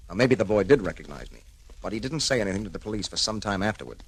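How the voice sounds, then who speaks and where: Deep, quick-talking male lawyer on the run, firm and reassuring, noisy vintage radio drama. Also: animated, breathy edge. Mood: firm, urgent. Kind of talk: 1940s radio crime serial acting. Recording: noisy old 1940s radio recording.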